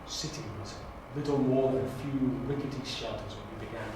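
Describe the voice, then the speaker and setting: A man playing a reflective, solemn orator in a measured, formal and dramatic audition monologue. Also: mid-range voice, lightly acted. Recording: very echoey room, distant mic, faint noise.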